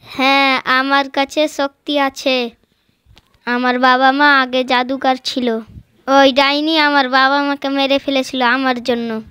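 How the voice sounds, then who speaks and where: Squeaky little cartoon bird, childlike. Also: male voice, flat delivery, slow, sharp tone, clear, resonant tone, dry recording, exaggerated voice. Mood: earnest. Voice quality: thin, squeaky voice. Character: small orphaned magic bird, childlike, plaintive yet defiant. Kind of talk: amateur cartoon story voicing.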